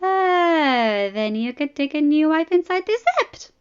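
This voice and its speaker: A woman's voice: high voice, lively intonation, unhurried, highs cut off, voice pushed up. A woman voicing an amused, provocative young princess with a sensual, drawling manner, as audiobook dialogue.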